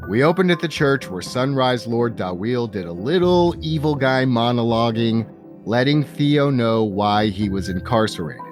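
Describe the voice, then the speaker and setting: A man narrating a tabletop role-playing game as game master, with theatrical storytelling and playful slang. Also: lowish voice. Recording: music playing under the voice.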